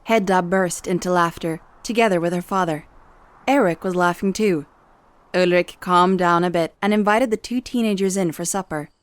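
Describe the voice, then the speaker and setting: A woman's voice: fairly low pitch, moderate pace. A woman narrating a scripted dramatic story in an audio series. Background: music.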